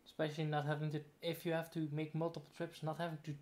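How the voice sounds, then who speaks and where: A man with a somewhat high voice talking casually with friends over voice chat. He is laid-back and walks through things step by step, one action at a time. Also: completely flat delivery, quick pace, a little mellow. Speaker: fellow player.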